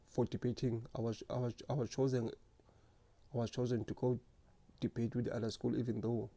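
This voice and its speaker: Guy who stutters, gravelly low voice, kinda flat, thinking back on school. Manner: stutters, with repeated words and restarts; slow, earnest, reflective. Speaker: speaker sharing lived experience. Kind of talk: personal reflective monologue.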